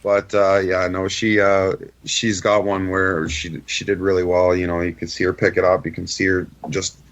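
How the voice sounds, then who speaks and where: Male guest, middle-aged dad, lowish voice, informal conversational delivery. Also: little pitch movement, moderate pace, fairly bright tone, lo-fi sound, a little hiss or hum. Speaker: interview guest, father of young shooter. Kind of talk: casual chat.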